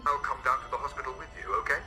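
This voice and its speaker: An actor playing a brisk, helpful doctor on a space station in a sci-fi video game. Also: male voice, medium pitch, fairly even intonation, bright, forceful tone, faint music behind.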